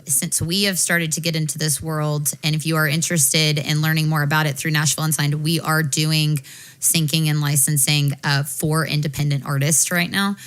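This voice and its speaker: An American woman co-hosting a casual panel chat, talking briskly and frankly, swearing casually as she gives advice. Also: sits low, fairly bright tone. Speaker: podcast co-host.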